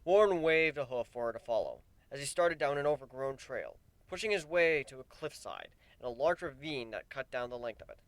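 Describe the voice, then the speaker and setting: Animated man narrating a story. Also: mid-range voice, a little fast, hardly any pauses, no echo. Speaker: narrator of fan fiction. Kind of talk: dramatic scripted story narration.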